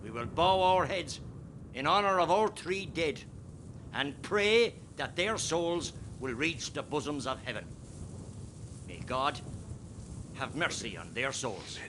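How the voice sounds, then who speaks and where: Slow, commanding Irish rebel leader. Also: male voice, fairly high pitch, lively intonation, background noise. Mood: solemn, rousing. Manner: slow, declamatory, barking orders. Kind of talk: radio play acting.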